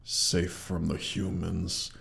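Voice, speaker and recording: Haughty hoard-guarding dragon, fantasy audio drama: rock-bottom pitch, creaky growl, condescending menace, monster effect. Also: male voice, little pitch movement, a bit bright, heavily acted. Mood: suspicious. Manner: slow, menacing, condescending, theatrical pauses. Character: injured, haughty male dragon guarding his treasure hoard. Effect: monster voice processing. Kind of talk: scripted roleplay audio drama.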